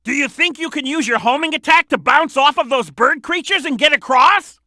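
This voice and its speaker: A woman voicing an excitable, cheeky little boy animal sidekick in a video game, with a childlike voice and eager, emphatic delivery. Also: high-pitched, moderate pace, dry recording, clean studio sound. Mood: eager, instructive. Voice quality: youthful, childlike. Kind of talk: video game character hint lines.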